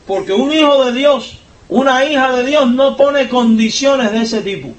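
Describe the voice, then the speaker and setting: A pastor with a Cuban accent preaches in a high, emphatic voice, pushing up in pitch and volume whenever he stresses a point. He sits back from the microphone, so his words arrive from a distance. The church hall around him rings with echo. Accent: Cuban Spanish. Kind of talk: sermon. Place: church sanctuary. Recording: echoey church hall, voice from far off.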